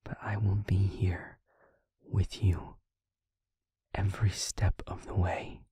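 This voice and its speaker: A man playing a gentle, devoted vampire boyfriend in an intimate audio roleplay, speaking tenderly and earnestly in a husky half-whisper. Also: low-pitched, little pitch movement, no echo, heavily acted. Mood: tender, reassuring. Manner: slow, halting, tender, earnest delivery. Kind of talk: intimate audio roleplay, ASMR-like scripted acting.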